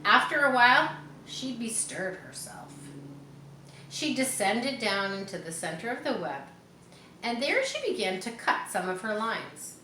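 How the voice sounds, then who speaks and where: A woman reads a children's book aloud, expressive and informal. Her voice is bright and clear-cut at a middling pitch. The room echoes a little and there is faint noise behind her. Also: unhurried. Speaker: storybook reader. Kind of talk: children's read-aloud with casual asides. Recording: roomy echo, faint background noise.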